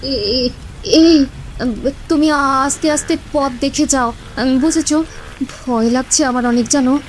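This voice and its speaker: A woman's voice: high voice, slow pace, a little hiss or hum, rough recording. A woman playing an anxious, fretful village wife who keeps cautioning, in a ghost story cartoon. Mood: frightened.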